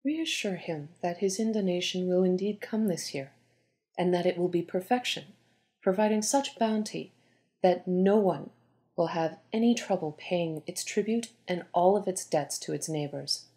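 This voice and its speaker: A woman playing a poised, pragmatic Egyptian goddess queen and oracle in an audio drama, with measured, formal delivery. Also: fairly low pitch, mellow, close to an everyday voice. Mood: regal, dry. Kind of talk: dramatised audio story reading.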